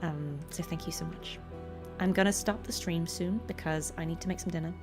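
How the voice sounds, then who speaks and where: A woman's voice: fairly low pitch, steady tone, fairly quick, music in the background. A woman chatting casually and affectionately to viewers on a livestream from home, giggly and chatty. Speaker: streamer and voice actress.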